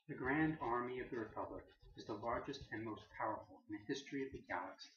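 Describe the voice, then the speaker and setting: A man playing a calm, solemn Jedi master, giving a formal, measured scripted address in an echoey, slightly dull recording. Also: medium pitch, close to an everyday voice. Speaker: speaker giving a political address in character. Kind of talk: formal scripted address, parody of a political response.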